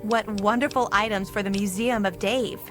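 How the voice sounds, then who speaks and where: A woman's voice: medium pitch, steady tone, moderate pace, close to an everyday voice. Female actor as earnest, welcoming small-museum curator for a game; mid-range voice, faint music underneath. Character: friendly, proud woman running a small museum. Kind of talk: video game character dialogue.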